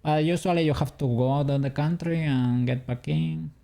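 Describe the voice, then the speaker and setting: Man with a Spanish accent, streamer, mellow voice, gravelly, chatty and rambling. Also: a little high, fairly even intonation, moderate pace. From Spain. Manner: rambling, answers chat, chuckles often. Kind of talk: casual chat while 3D modeling.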